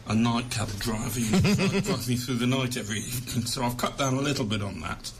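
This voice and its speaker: A man's voice: mid-range voice, animated, brisk, noisy background. A man being interviewed as an author, chatting conversationally with self-deprecating humour.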